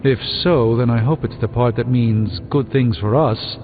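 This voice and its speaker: An actor playing a learned, dry-witted sorcerer superhero in a video game, speaking in a measured, articulate way. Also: male voice, mid-range voice, close to an everyday voice. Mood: pensive, grave. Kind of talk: video game dialogue acting. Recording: muffled thin band, reverberant, music behind.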